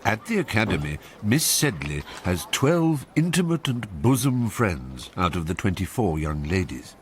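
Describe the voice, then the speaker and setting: Radio drama narrator: knowing, urbane Englishman, lowish and expressive, faint ambience behind. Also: measured pace, a little hiss or hum. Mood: wry, amused. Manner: ironic, knowing. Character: wry, urbane storyteller of a Regency satire.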